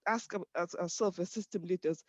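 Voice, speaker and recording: A man's voice: sits high, normal speed, dry recording. A man speaking earnestly on a panel, urging his listeners. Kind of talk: panel discussion.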